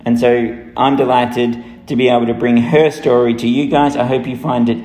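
Guy hosting a podcast, upbeat and excited, echoey room. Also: medium pitch, normal speed. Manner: enthusiastic and upbeat. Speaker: podcast host.

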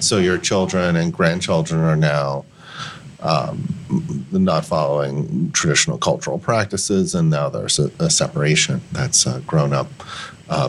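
A man's voice: extremely low pitch, breathy edge. A man interviewing a guest, asking thoughtful, probing questions.

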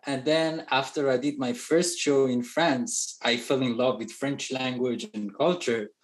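A man whose first language is Persian chats as a guest in a relaxed, joking way. He talks haltingly, full of fillers and restarts, at a middling pitch. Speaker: touring music producer, guest. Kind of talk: casual interview chat.

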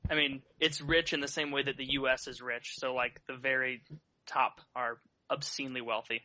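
A man's voice: medium pitch, flat delivery, moderate pace, pushed and bright, slight background noise, rough recording. An earnest man making his case in a casual podcast debate, hedging often with "I mean, like".

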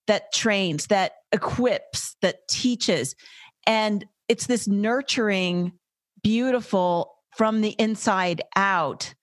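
A woman's voice: mid-range voice, no room sound. A woman hosting a podcast interview in an upbeat, effusive, chatty way. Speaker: podcast host. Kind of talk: casual interview chat.

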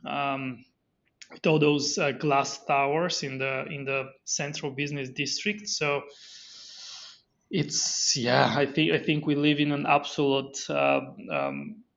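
A man's voice: fairly high pitch, vocal fry, a bit bright, lo-fi sound. A man, a company founder guest on a podcast interview, talking with many fillers and restarts. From Bulgaria.

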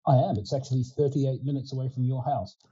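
A man's voice: mid-range voice, fast, slightly rough, lo-fi sound. A man in his sixties with an Australian accent, a Ukrainian first language, chatting loosely as an interview guest with many fillers. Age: 60s. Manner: relaxed and rambling, many fillers and restarts. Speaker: guest, winemaking consultant. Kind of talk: casual interview chat.

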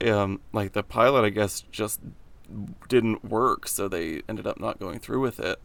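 A young man co-hosting a podcast, talking in a deep, slightly gravelly voice with a flat, deadpan, sarcastic delivery. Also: unhurried. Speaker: podcast co-host. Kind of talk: casual chat.

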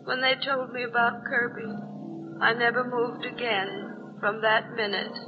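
An actress playing a mournful, relentless ghost of a dead bride in an old radio horror drama. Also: female voice, on the high side, little pitch movement, close to an everyday voice. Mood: mournful, eerie. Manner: slow, intense, declamatory. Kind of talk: 1940s radio horror acting. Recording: muffled old radio recording, music behind.

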